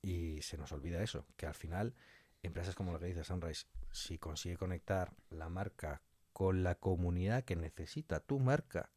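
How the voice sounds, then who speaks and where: A man's voice: deep voice, moderate pace, darkish tone, dry recording. A man from talking casually and colloquially as an interview guest, giving his opinions.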